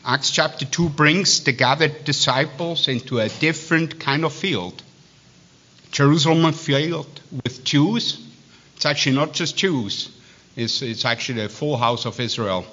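A man with a Swiss accent preaches in a bright, pressing voice. He reads scripture plainly but keeps wandering into halting asides, restarting his words as he goes. The recording sounds dull and lo-fi. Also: a little high. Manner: digressive, halting, with many restarts and fillers. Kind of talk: sermon with asides.

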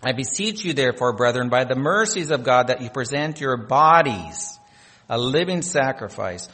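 A man's voice: medium pitch, lively intonation, moderate pace, bright, forceful tone, long reverb tail. A man from North Dakota preaching a sermon, reading scripture aloud with rising emphasis on key phrases. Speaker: pastor.

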